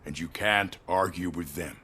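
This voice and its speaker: An actor playing an old corporate chief, courteous and weary, speaking in a measured, formal way with quiet control. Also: male voice, sits low, noisy background, lightly acted. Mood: gracious, weary. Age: older. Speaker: company head. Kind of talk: video game dialogue acting.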